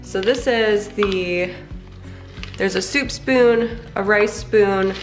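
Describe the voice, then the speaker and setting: A woman with a head cold, chatting excitedly as she unboxes a kitchen appliance in her home kitchen for a cooking vlog. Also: mid-range voice, slow pace, recorded from a distance. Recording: echoey room, off-mic, music underneath.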